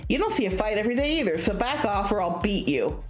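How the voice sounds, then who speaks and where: A woman's voice: medium pitch, sing-song, quick pace, reverberant, soft background music, close to an everyday voice. A woman voicing a tough, loyal young man in a visual novel, blunt but casual and reassuring. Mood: gruff, defiant.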